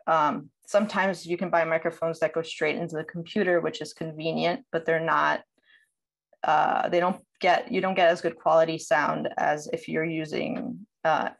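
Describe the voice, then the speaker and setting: A woman with a fairly low voice presents over a video call. She explains things step by step in a conversational way, with the informal phrasing of someone teaching from slides. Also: vocal fry. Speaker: audio producer giving a presentation. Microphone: video call microphone.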